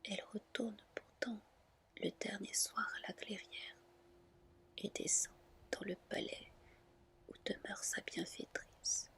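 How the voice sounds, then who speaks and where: A woman reading a fairy tale as ASMR in a gentle whisper, with hushed, deliberate phrasing. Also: mid-range voice, fairly even intonation, vocal fry. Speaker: ASMR storyteller.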